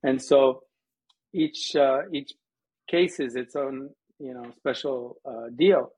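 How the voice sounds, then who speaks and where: Man, American accent, husky voice, conversational interview guest, mid pitch, slightly lo-fi call audio. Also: slow pace, darkish tone. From United States, Colorado. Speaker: interview guest, missions organization worker. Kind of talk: conversational interview answers with anecdotes.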